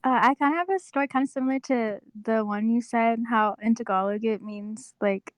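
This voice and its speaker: Young woman from Guam, slightly high voice, dry close sound, chatty guest with fillers. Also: brisk, dry recording. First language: Chamorro. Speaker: podcast guest. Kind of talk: casual storytelling chat.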